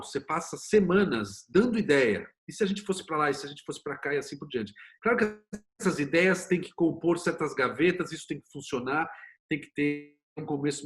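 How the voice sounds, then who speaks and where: Homem brasileiro de voz levemente aguda. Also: male voice, fairly high pitch. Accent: Brazilian. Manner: explains with examples and acted-out quotes, rhetorical questions. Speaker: interview guest, series producer and screenwriter. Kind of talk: conversational interview, anecdotal storytelling.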